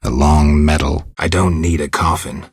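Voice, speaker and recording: Casting: stoic, not quite human game monster hunter; very deep bass, husky gravel, laconic deadpan lines. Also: male voice, normal speed, dry recording, clean studio sound, full character voice. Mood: terse, businesslike. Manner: terse, deadpan, guarded. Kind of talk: video game dialogue acting.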